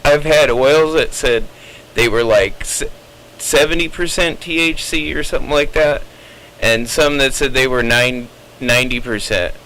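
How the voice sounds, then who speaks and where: A man's voice: medium pitch, measured pace, bright, forceful tone, low-quality recording. A man chatting casually over CB radio, explaining his opinions at length in a rambling way. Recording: CB radio chatter with faint noise.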